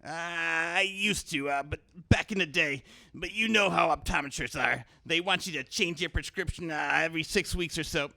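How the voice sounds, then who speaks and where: A creaky, high-voiced old quack dentist. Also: male voice, moderate pace, pushed and bright, heavily acted. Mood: muddled, evasive. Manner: muttering, hesitant, repeating himself. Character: bumbling old quack dentist, absent-minded and shameless. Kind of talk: comic radio-play acting.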